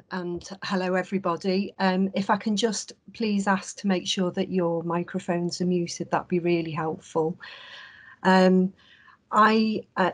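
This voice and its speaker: Husky-voiced woman psychologist presenting. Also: fairly low pitch. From England. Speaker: clinical psychologist speaker. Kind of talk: webinar presentation. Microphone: video call.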